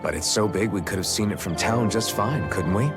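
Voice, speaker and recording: A man voicing a steady, thoughtful young warrior in a video game cutscene, frank and questioning. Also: fairly low pitch, brisk, lightly acted. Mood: curious, mild. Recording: music behind the voice.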